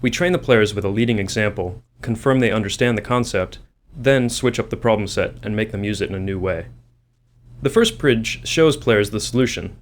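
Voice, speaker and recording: A man with a moderately low voice presents prepared developer commentary. A light layer of ambient noise sits behind his explanation. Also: moderate pace. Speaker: game developer.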